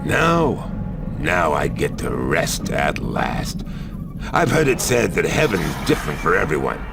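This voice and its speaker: Gravelly, slow, world-weary tough guy. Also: rumbling low, wildly swooping pitch, loud music behind the voice, heavily acted. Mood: weary, wistful. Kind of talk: video game voice acting.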